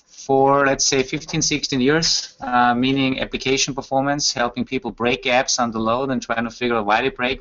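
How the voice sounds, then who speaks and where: A man's voice: medium pitch, fairly bright tone. A middle-aged man from Austria, a German speaker, explaining his work as a podcast guest with frequent fillers. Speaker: guest, performance expert. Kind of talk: casual podcast chat. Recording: muffled lo-fi audio.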